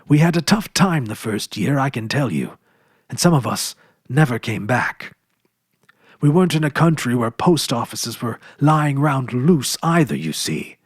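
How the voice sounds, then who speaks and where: A man voicing a hearty grown brother just back from prospecting, telling his tale in a husky, lively voice. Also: mid-range voice, dry recording, clean studio sound.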